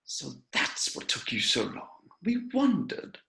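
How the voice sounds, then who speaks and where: A man voicing a menacing, haughty dark wizard henchman with sneering, hissed lines in an amateur table read. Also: mid-range voice, wildly swooping pitch, a little slow, gravelly, exaggerated voice. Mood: smug, sneering.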